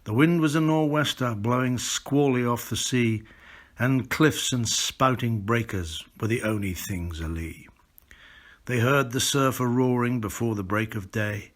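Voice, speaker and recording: Older man, British accent, mid-pitched voice, expressive literary recitation. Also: measured pace. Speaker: author and poetry reader. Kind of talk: expressive poetry recitation with spoken introduction.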